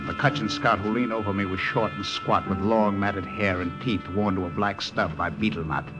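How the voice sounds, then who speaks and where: Casting: male actor as feverish young American GI, lowish weary voice, plain first-person narration, old radio play scored with music. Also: steady tone, normal speed, lightly acted. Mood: weary, grim. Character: sick young Japanese American soldier recounting his jungle ordeal.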